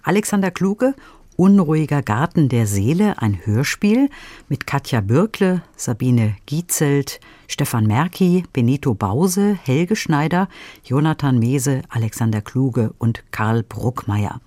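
A woman's voice: rumbling low, lively intonation, measured pace, warm tone, no room sound. A woman presenting audiobook reviews on the radio, reading scripted introductions and putting questions to a critic.

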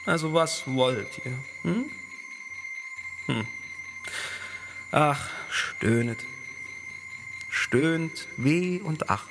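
An actor playing a gloating, cruel tyrant who turns whiny and mock-innocent in a theatrical verse radio play. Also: male voice, fairly high pitch, animated, extremely slow, pauses often, exaggerated voice, rough recording. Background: music.

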